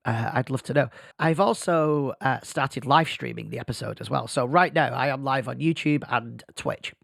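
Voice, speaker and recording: A man's voice: medium pitch, normal speed, creaky, fairly bright tone, no room sound, clean studio sound. A man who is losing his voice, hosting a casual solo podcast in a self-deprecating, rambling way. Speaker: podcast host. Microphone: podcast microphone.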